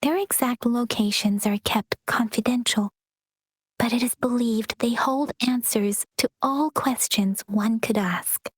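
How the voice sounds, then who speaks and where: A calm young guide who explains things in a soft, measured, slightly breathy voice, pitched a little high. The sound is dry and close. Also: female voice, no room sound. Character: gentle, polite young woman guide, calm and informative. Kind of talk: game cutscene voice acting.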